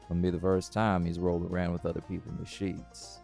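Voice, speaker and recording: Deep gravelly man acting a charming cowboy desperado. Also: little pitch movement, brisk, darkish tone, faint music behind. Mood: calm, reassuring. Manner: folksy, suave, teasing endearments. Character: charming desperado cowboy, unbothered, flirtatious, devoted husband. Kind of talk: intimate audio roleplay acting.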